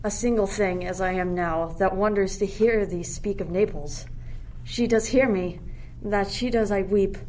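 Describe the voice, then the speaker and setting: A woman's voice: mid-range voice, measured pace, a little mellow, close to an everyday voice, poor audio. A woman playing a lovestruck, defiant young shipwrecked royal in a Shakespearean radio play, earnest and declamatory. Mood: mournful, earnest. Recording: faint background noise.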